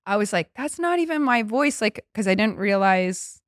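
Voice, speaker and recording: A chatty middle-aged woman hosting a comedy podcast, talking at an easy mid pitch with plenty of fillers. She laughs often and sounds close and dry, with no room around her voice. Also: expressive, normal speed, no echo. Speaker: podcast host, stand-up comedian and teacher. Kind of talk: casual podcast chat.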